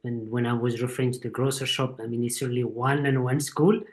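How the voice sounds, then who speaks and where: Man, 40s, middle pitch; thoughtful interview guest who explains at length. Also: fairly even intonation, quick pace. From Morocco. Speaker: guest, supply chain executive. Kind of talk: casual interview.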